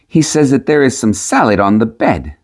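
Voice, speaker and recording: A man voicing a sly peasant trickster in a storybook, with a measured, cunning delivery. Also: mid-range voice, big pitch swings. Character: sly male peasant trickster selling prophecies.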